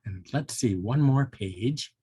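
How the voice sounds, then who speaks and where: An older man with a husky voice, reading a storybook and chatting to young children. He is folksy and musing, and the recording is close and dry. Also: mid-range voice, animated, takes it slowly, warm tone, no room sound. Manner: folksy, musing, with interjections like hmm and well. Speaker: volunteer storybook reader for children.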